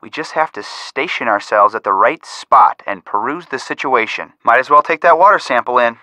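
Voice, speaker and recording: A man's voice: medium pitch, lively intonation, moderate pace, pushed and bright, no room sound. A young man with an American accent voicing an upbeat amateur detective who gives wry, helpful hints in a video game. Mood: helpful, encouraging. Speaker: detective helper giving hints. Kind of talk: scripted video game voice acting.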